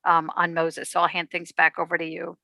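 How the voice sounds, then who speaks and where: A woman with a bright, forward voice on the lower side, recorded dry and close on a video call. She is a rabbi hosting an online talk, introducing and prompting in a halting, conversational way. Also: completely flat delivery, creaky, no room sound. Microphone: video call microphone.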